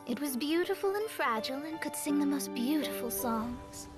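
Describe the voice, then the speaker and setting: A woman playing a proud, thoughtful young woman in a video game, speaking in deliberate, formal phrases. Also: sits high, lively intonation, loud music behind the voice. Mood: solemn storytelling. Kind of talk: video game cutscene acting.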